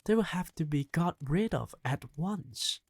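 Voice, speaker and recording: A reader voicing a fierce, spirited old grandmother in an audiobook, talking briskly and impatiently. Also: medium pitch, animated, husky, dry recording. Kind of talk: audiobook character reading.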